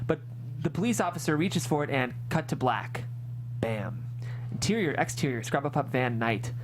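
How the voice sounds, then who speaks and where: A woman's voice: low voice, lively intonation, moderate pace. A woman narrating stage directions from a screenplay at a table read. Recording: clear background hum and noise.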